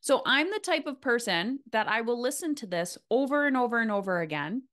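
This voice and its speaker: A woman's voice: a little high, expressive, brisk, fairly bright tone. A woman hosting a casual interview in a motivational coaching style, emphatic and repeating phrases for weight. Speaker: podcast host, coach.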